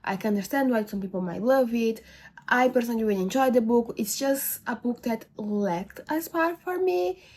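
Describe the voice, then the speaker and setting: A woman's voice: fairly high pitch, normal speed, darkish tone. A woman whose first language is not English, giving a casual, rambling book review with many fillers and self-corrections. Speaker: book vlogger.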